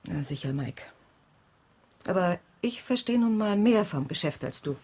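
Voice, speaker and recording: A husky-voiced, stubborn wife in a radio drama. She is a businesswoman stuck in bed, impatient and used to control. Her pitch is lowish and rough-edged. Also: lively intonation, a little slow, darkish tone, full character voice. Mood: stubborn, irritated. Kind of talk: radio crime drama acting.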